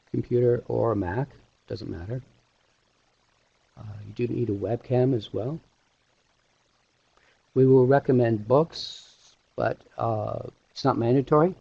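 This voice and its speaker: Muffled, gravelly man presenting online. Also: medium pitch, no echo. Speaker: animation instructor hosting a webinar. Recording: dull, lo-fi webinar audio.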